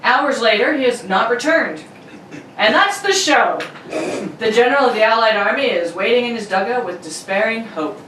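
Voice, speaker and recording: A woman's voice: fairly high pitch, expressive, moderate pace, fairly bright tone. A woman narrating an amateur radio drama from a script, playfully and dramatically. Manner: playful, prone to giggling and ad-libs. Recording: roomy, distant mic with faint noise.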